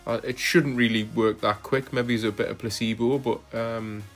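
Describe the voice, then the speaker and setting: A man narrating footage of his own rides as a cycling vlogger, relaxed and hesitant, with frequent fillers. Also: medium pitch, moderate pace, soft background music. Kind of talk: casual voice-over narration of ride footage.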